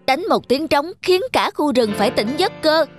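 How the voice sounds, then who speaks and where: A woman's voice: high voice, animated, normal speed. A woman voicing a clever, loyal farm dog in a children's cartoon fable, coaxing with feigned innocence. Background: background music.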